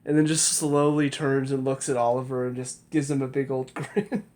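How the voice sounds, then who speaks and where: Male reviewer, medium pitch, chatty and critical, trails and restarts lines. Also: level tone, normal speed, a little mellow. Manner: critical, rambling, restarts sentences. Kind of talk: casual spoken review.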